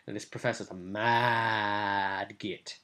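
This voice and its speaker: A man's voice: lowish voice, fairly even intonation, takes it slowly, pushed and bright. A British man giving casual, unscripted commentary on a tabletop role-playing session as game master, in a rambling, colloquial way.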